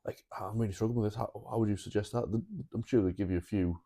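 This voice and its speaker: A man co-hosting a podcast, talking in a relaxed, casual way with many repeated words. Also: on the low side, quick pace, creaky. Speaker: podcast co-host. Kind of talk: casual chat.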